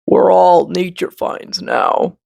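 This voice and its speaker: Woman doing a slow, husky, cautious bark. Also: lowish voice, darkish tone, heavily acted. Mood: cautious, sober. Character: slow, cautious, stay-at-home piece of bark. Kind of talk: children's storybook read-aloud.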